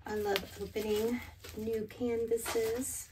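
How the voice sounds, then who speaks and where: Woman in her 40s, slow unhurried delivery, groggy morning voice, rambling casual vlog talk from a home studio. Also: fairly high pitch, background noise. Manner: relaxed, rambling self-talk. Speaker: artist vlogger. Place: small home art studio, indoors.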